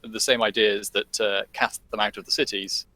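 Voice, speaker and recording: A man's voice: on the low side, little pitch movement, moderate pace, bright, forceful tone. A man talking as a podcast guest, hesitant, with many fillers and restarts. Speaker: guest commentator. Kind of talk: casual podcast discussion.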